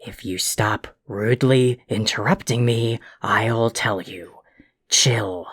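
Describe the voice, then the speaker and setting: An actor playing an irritable, condescending troll in an audio drama, with a gravelly, abrasive delivery. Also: mid-range voice, slow pace, noisy background, close to an everyday voice. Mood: grudging, curt. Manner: abrasive, condescending, wordy insults. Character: irritable insulting troll boy apologizing grudgingly.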